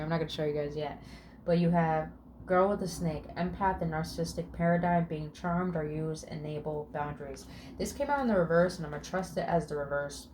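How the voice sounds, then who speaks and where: A woman with a somewhat low voice reads tarot in a relaxed, chatty way, reeling off card meanings and murmuring "mm" as she goes. She affirms her listeners and swears now and then. A faint hiss of noise sits under her voice. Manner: drawn-out words with murmured "mm". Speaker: tarot reader. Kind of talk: casual spiritual tarot reading.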